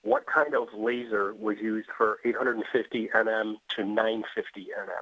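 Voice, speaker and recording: A man's voice: on the low side, steady tone, normal speed, fairly bright tone, slightly rough, dry recording. A man moderating a webinar, politely reading out questions and announcements in a businesslike way over a tinny phone connection. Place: remote call.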